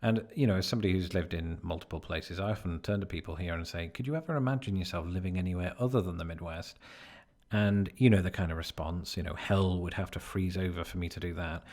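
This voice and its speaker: A man's voice: deep voice, fast talker, a little mellow. A man from Britain living in the American Midwest, narrating his vlog with dry, sardonic humor. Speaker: vlogger in the original video.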